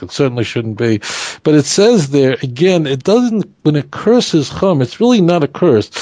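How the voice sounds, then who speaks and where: A man whose first language is Hebrew, explaining scripture in an animated conversational study, often restarting his sentences. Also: fairly high pitch, dry recording. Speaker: Torah teacher.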